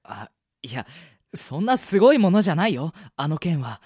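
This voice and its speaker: A man acting a loyal, gentle young adventurer boy in an amateur radio drama, speaking earnestly and politely. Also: a little high, very animated, normal speed, slightly rough, no room sound, clean studio sound. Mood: mild. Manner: soft-hearted, earnest, polite.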